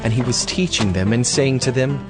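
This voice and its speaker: A man's voice: medium pitch, normal speed. A man narrating scripture from a script, as the storyteller of a dramatized reading. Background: music.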